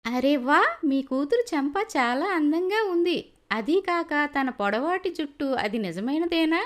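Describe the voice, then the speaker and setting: A woman's voice: sits high, lively intonation, measured pace. A woman voicing an effusive middle-aged mother of a groom in a cartoon story. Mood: delighted.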